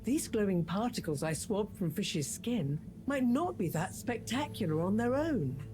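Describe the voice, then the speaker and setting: An actress playing an eager, self-assured scientist in a video game, explaining things in a precise, animated way. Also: female voice, mid-range voice, measured pace. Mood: excited, eager. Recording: music behind the voice.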